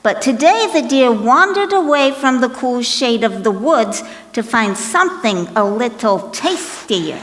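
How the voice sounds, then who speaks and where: A woman's voice: fairly high pitch, sing-song, a little slow, few pauses, a bit bright, in a large hall. A woman playing a brash, grumpy, wisecracking substitute storyteller in a comic children's skit. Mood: theatrical narrating. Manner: sassy, exasperated, theatrical reading.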